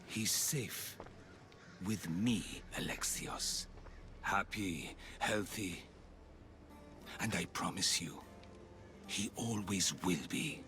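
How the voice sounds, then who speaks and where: A slow, grandiose zealot cult leader. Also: male voice, low-pitched, music in the background. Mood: calm menace. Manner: grandiose, deliberate speechmaking. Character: aging zealous Persian leader of a secret order, calm and menacing. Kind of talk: video game cutscene acting.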